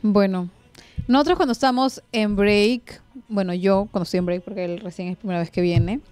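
A woman's voice: medium pitch, moderate pace, a little mellow, smooth, no room sound. A young woman with a Peruvian accent chatting casually as a radio host at a studio mic. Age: young adult. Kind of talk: casual chat. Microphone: studio mic on a desk arm.

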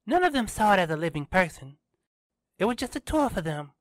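Man voicing an excitable, high-pitched teenage girl. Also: brisk, no echo. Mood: indignant, excited. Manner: emphatic, exclaiming.

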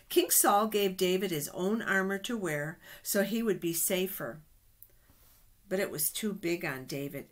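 Middle-aged woman for children's Bible storytime; slow, deliberate reading with expressive emphasis. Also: on the low side. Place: small office indoors. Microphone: webcam at desk distance.

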